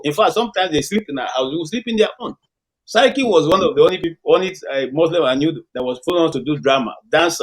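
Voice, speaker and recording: Nigerian man, middle-aged, emphatic storyteller, slightly high voice. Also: poor audio. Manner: emphatic, storytelling, rhetorical questions. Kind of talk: conversational discussion.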